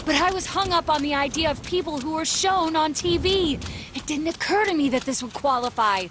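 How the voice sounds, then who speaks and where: Composed, very high-voiced young detective girl. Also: a bit bright, a little hiss or hum. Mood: grave, explanatory. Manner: measured, precise, formal. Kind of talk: video game voice acting.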